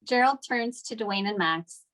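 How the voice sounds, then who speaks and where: A woman's voice: on the high side, a little slow, fairly bright tone. A woman narrating the stage directions of a script at a table read.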